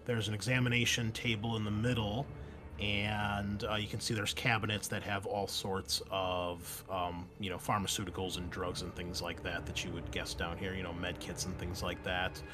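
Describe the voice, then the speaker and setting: A man's voice: low-pitched, flat delivery, moderate pace, background noise. A man narrating a tabletop roleplaying game as game master in an informal, conversational way. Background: background music.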